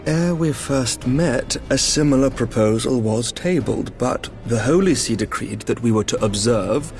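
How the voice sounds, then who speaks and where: An actor voicing a courteous knight commander in a fantasy game, speaking formal, measured lines with a British accent. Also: male voice, medium pitch, lively intonation, a little mellow, faint music behind. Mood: grave, courteous. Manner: formal, stately, measured diction. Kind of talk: dramatic fantasy game voice acting.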